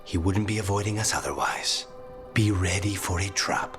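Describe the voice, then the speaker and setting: A very deep male voice over clear music an actor in a game role. He is a cautious, brooding assassin, grimly purposeful and terse, speaking as in a fantasy game cutscene. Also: moderate pace, close to an everyday voice. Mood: wary resolve. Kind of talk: video game cutscene acting.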